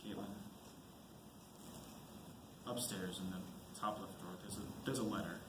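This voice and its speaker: A man's voice: deep voice, measured pace. A teenage boy playing a frightened, apologetic young soldier and husband in an amateur student stage play. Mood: awkward, careful. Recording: echoey school stage, clear background noise.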